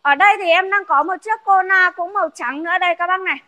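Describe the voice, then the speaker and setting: Bright high-voiced young saleswoman. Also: quick pace, no echo, lo-fi sound. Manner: chatty, repeats herself, addresses viewers as "các bác". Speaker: used car salesperson. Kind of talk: casual showroom sales walkthrough. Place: in a car and a car showroom.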